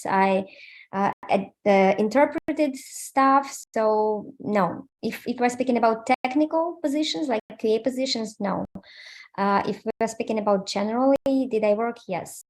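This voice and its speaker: Woman from ukraine on a video call, bit high voice, lots of uh and um, english. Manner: hesitant, many fillers, plain and candid. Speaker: interview guest, QA automation engineer. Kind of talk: casual interview over video call.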